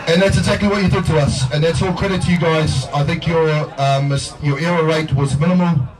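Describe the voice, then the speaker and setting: Male rugby coach, New Zealand accent, slightly high, humble and halting, distant mic with a crowd loud behind. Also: fairly even intonation. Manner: humble, halting, with many fillers. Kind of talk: post-match speech.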